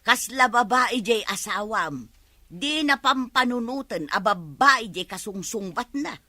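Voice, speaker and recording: A woman's voice: a little high, animated, measured pace, sharp tone, no echo, exaggerated voice. A woman playing a disapproving mother who admonishes her grown daughter in a radio drama. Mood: admonishing. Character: disapproving older mother scolding about her son-in-law.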